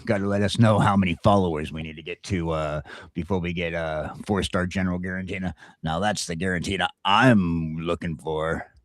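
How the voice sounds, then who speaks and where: A man's voice: sits low, steady tone, fairly quick, hardly any pauses, no echo, studio quality. A man co-hosting a casual chat show, blunt and opinionated, stammering into restarts. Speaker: talk show co-host.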